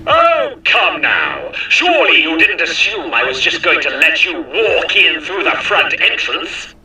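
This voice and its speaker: Echoing, sharp, gleeful villain king. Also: on the high side, big pitch swings, a little slow, full character voice. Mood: gleeful, taunting. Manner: mock-polite, theatrical, gloating. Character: gleeful, smug villain usurper king, taunting. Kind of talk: cartoonish game cutscene acting. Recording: echoing, distant announcement over music.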